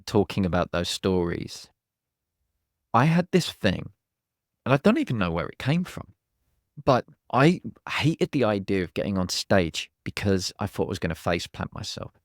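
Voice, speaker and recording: A man hosting a podcast, chatting relaxed and sharing a personal story. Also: on the low side, normal speed, no room sound, clean studio sound. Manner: relaxed, conversational, tells personal anecdote. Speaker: podcast host. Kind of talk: casual chat.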